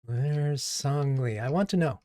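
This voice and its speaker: A man from Japan hosting a coding livestream as a coach, chatting casually and haltingly as he thinks aloud. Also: medium pitch, mellow, no room sound, clean studio sound.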